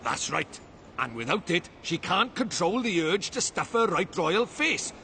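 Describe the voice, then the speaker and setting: Cheeky, high-pitched little fairy sidekick. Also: male voice, big pitch swings, a little slow, gravelly, pushed and bright, a little hiss or hum, heavily acted. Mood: explaining. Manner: chummy, colloquial, exclamatory. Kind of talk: animated fantasy game voice acting.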